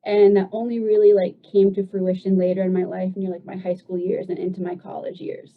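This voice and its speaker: A woman's voice: mid-range voice, little pitch movement, a little fast. A woman in her twenties from the United States, a guest telling her story in a loose, chatty way with plenty of "um" and "like". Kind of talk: casual personal storytelling. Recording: dull lo-fi sound.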